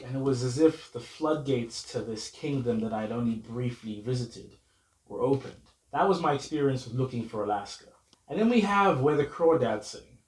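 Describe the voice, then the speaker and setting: A man's voice: mid-range voice, darkish tone. A young man, slightly ill, talking earnestly to camera about books and their film adaptations. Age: young adult. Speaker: vlogger. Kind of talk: casual to-camera book talk. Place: room at home with bookshelf. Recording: roomy echo, mic at a distance, faint music.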